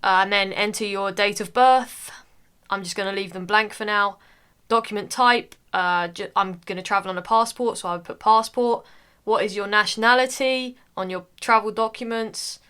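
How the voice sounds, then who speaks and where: Bright, clear-voiced woman explaining steps. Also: medium pitch, moderate pace. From United Kingdom. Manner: relaxed, frequent "uh" fillers. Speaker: tutorial narrator. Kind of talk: casual screen-recording walkthrough.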